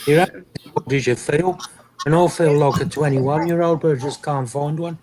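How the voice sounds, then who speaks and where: A man's voice: a little high, normal speed, crowd noise, poor audio. A man in his fifties joking wryly in a casual group chat. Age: 50s. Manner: wry, joking.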